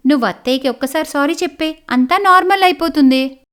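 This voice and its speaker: A woman's voice: sits high, fast, fairly bright tone. A woman performing scripted family dialogue in a story.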